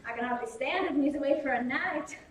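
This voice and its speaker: An actress as a devoted young wife. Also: female voice, high-pitched, fast talker, natural voice. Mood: fond. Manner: gentle, soothing, turning firm. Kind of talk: 1950s film drama. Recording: echoey, distant, hissy old film soundtrack.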